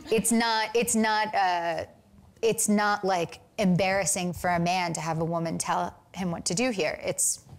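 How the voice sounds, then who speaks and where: Young american woman, kinda low voice, lots of ums and restarts, thinking out loud in an interview. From United States. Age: young adult. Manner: hesitant, many fillers and restarts. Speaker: film director, interview guest. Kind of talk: reflective interview answers.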